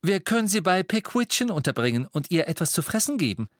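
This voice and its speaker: A man's voice: sits high, big pitch swings, fast talker, no room sound, studio quality, pitched above the performer's own voice. A man voicing an anxious, motherly middle-aged woman who pleads and fusses, in an audiobook. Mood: fussing. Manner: pleading, fussing.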